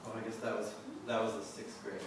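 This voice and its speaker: A man reading his own poetry at a live event, measured and deliberate in the poems and offhand in between. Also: fairly low pitch, level tone. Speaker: poet reading own work. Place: live reading venue, indoors. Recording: roomy echo, distant mic, faint noise.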